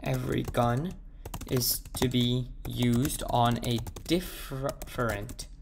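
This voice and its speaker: A man with a sore, croaky throat, thinking aloud as he works through a math problem and slowing down as he types the proof. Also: medium pitch, fairly even intonation, background noise. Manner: thinks aloud, slows down while typing. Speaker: math tutor. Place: at a computer, screen recording.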